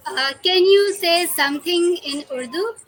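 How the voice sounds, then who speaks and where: A woman hosting a casual remote interview, chatting in halting, non-native English. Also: very high-pitched. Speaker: interviewer and podcast host.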